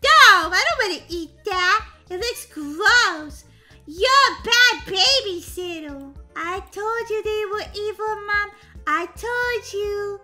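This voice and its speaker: Very high, whiny little girl. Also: takes it slowly, bright, forceful tone, soft background music, full character voice. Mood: defiant, whiny. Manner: whiny, childish baby talk and taunts. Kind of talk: children's game roleplay acting.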